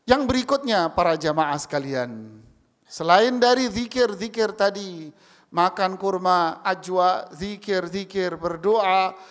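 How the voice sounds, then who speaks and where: Male preacher, forties, fairly high voice, pulpit stand mic, long hall echo. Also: a bit bright. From Indonesia. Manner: rising emphatic calls to the congregation, chanting Arabic slowly. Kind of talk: Friday sermon. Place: large mosque hall, indoors. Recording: long mosque hall reverb.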